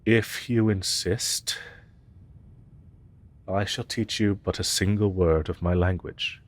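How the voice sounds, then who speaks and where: A man's voice: low voice, steady tone, slow pace. A man voicing a haughty, grandiose but tender dragon professor in a scripted fantasy roleplay, speaking in archaic, pompous, formal phrases. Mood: haughty, affectionate.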